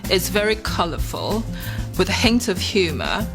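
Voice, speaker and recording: Lower-voiced woman from Taiwan. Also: wildly swooping pitch, normal speed, music in the background. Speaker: freelance illustrator. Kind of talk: interview to camera.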